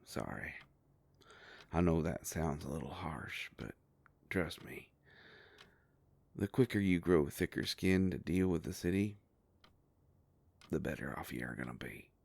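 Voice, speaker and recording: A man acts out a werewolf private investigator for an intimate roleplay audio. His voice sits very low with a heavy gravelly fry, recorded close and dry. He comes across as an easygoing, protective boss with a folksy turn of phrase. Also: a little slow, long pauses, darkish tone, no room sound, full character voice. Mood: reassuring, businesslike.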